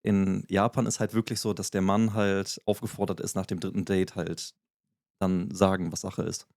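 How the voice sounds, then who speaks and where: A man with a low, mellow voice talks through a topic at an easy conversational pace, hedging with frequent fillers and restarts. The recording is dry and close, with no room sound behind him. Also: flat delivery, normal speed, no room sound. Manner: explanatory, many fillers and restarts. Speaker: podcast co-host. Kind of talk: casual podcast chat.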